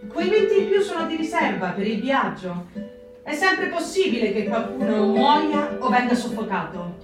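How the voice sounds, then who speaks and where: High-voiced camp inmate, echoing over guitar. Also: female voice, very animated, normal speed. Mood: stark, grave. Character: woman camp inmate bearing sorrowful, stark witness. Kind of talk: theatrical dramatic reading of testimony. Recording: echoey, distant pickup under loud guitar.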